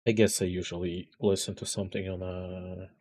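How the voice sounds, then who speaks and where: A man's voice: low-pitched, flat delivery, fairly quick, darkish tone. A man chatting casually while streaming a game, groggy and hesitant, with a mumbly delivery. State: just waking up, groggy. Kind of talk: casual gameplay chat.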